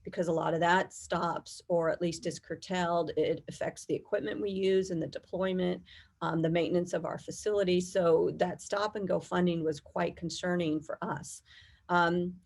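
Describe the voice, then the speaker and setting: A middle-aged American woman speaks in a fairly low voice with a gravelly creak. She answers as an interview guest, explaining things in a measured, conversational and detailed way. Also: steady tone, darkish tone. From United States. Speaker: guest, air traffic controllers' union executive.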